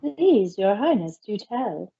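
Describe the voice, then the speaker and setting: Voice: mid-range voice, very animated, slow, vocal fry, warm tone, muffled recording, heavily acted. A performer voicing a polite, formal, faintly disapproving female pony maid in a fanfiction reading. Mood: polite, prim. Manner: formal, deferential.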